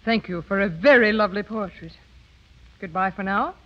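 A woman's voice: mid-range voice, normal speed, natural voice. An actress playing a haughty, spoiled young society woman, cool and needling, in 1930s radio comedy style. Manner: cool, needling, self-assured. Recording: muffled vintage radio broadcast.

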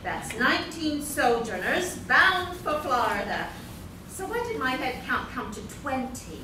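A woman's voice: high-pitched, sing-song, unhurried. A woman playing an organized, fussy, kindly older aunt in a live radio play reading. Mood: orderly, fussy. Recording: echoey meeting room, distant, noisy.

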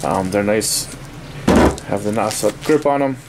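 A man with a fairly low voice talks very slowly through a casual product review. His delivery is halting and offhand, and there is clear noise from the room around him. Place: small indoor workspace at a desk. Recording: steady noisy room ambience.

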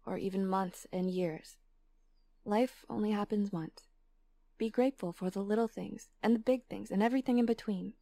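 A woman reading scripted narration of life advice in a calm, even way. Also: medium pitch, creaky. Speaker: narrator of a played video.

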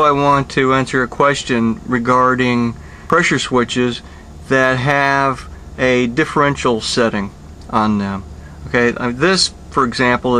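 A middle-aged man working as an HVAC technician, explaining a repair step by step in a plain, unhurried way with many pauses, recorded outdoors beside an air conditioning unit. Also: medium pitch, bright, forceful tone, slight background noise. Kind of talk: hands-on repair tutorial.

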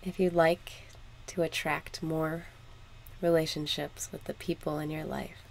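Female meditation guide: unhurried, even delivery with gravelly fry, ambient noise underneath. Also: low voice, poor audio. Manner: measured, instructional, with long pauses. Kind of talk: slow, scripted guided meditation.

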